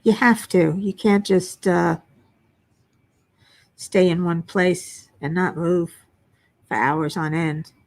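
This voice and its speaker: Chatty older woman, slightly raspy. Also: fairly even intonation, slow pace, pauses often. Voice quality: low raspy voice at times from a throat frog. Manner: chatty, self-deprecating, reads chat names aloud. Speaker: livestream host. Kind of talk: casual chat reading viewer comments. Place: home workspace.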